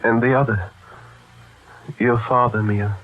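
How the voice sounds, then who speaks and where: A man acting as a sickly, haunted fatalist in a vintage radio play. He speaks slowly and haltingly, brooding over each phrase, through a thin, phone-like recording. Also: mid-range voice, steady tone, lightly acted. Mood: uneasy. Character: sick, guilt-haunted, fatalistic man in war drama. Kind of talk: old radio drama acting. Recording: tinny, band-limited old radio recording.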